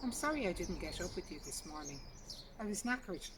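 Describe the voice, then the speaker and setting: A woman's voice: lowish voice, very animated, brisk, noisy background. A woman voicing a tired young mother who coaxes her little daughter in a storybook reading. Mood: casual, apologetic. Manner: coaxing, motherly questions and gentle orders. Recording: outdoor garden, distant mic, loud birdsong.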